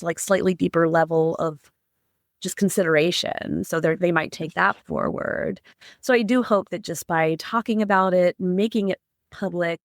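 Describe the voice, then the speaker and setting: This is a middle-aged psychotherapist and professor speaking as a podcast guest. The voice has a gravelly creak running through it. The talk is earnest and candid, with stumbles, repeated words and frequent "like" and "right?". The recording is dry and close to the mic. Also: mid-range voice, no room sound. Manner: earnest, repeats words when restarting, uses "like" and "right?" often. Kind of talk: candid interview answers.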